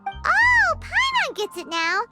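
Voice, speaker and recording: A woman voicing a tiny, perky, pushy childlike fairy companion in a video game, squeaky and exclaiming, referring to herself in the third person. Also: wildly swooping pitch, slow, heavily acted. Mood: excited. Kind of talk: video game character acting. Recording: loud jingly game music behind.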